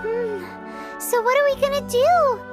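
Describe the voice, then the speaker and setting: A woman voicing a tiny, nosy, cheeky childlike fairy companion in a video game, exclamatory and squeaky. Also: a little slow. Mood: curious. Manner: exclamatory, refers to self by name. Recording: music behind the voice.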